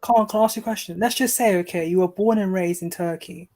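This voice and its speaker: A woman's voice: medium pitch, quick pace, dark tone. A woman on a live panel debate, speaking hesitantly with many "you know"s and restarts while she keeps asking for a chance to speak. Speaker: panel guest.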